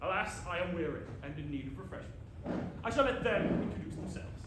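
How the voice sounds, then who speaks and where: A man's voice: sits high, animated. A man playing a jovial, theatrical old wizard narrating a comic tale on a pantomime stage, drawing out his words and exclaiming. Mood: grand storytelling. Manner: hammy, exclaiming, drawn-out storytelling. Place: live stage before an audience. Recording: very echoey, noisy live theatre recording.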